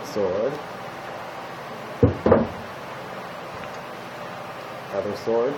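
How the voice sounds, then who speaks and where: A man playing a zombie apocalypse survivor, talking casually and haltingly as he gives matter-of-fact survival advice in an improvised roleplay. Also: lowish voice, close to an everyday voice. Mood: casual, caring. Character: male zombie apocalypse survivor giving tips to a guest. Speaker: roleplay video host. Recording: steady background hum, noisy home recording.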